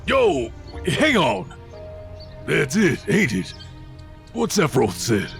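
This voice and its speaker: An actor playing a blunt, gruff fighter in a video game. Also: male voice, a little high, big pitch swings, takes it slowly, fairly bright tone, faint music behind, full character voice. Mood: insistent.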